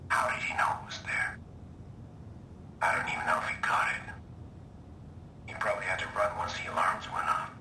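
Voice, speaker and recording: Low-voiced, bitter veteran hacker. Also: male voice, reverberant, background noise. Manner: deliberate, brooding, disdainful. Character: bitter, scheming, injured veteran hacker, former mentor. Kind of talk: scripted video game audio log.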